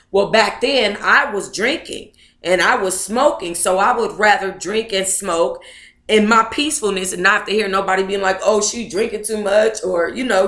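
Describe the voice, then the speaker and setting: Sassy, bright-voiced woman in an echoey room. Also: mid-range voice. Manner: sassy, blunt, profane, conversational. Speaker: book club host reading aloud and commenting. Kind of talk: casual read-aloud with running commentary.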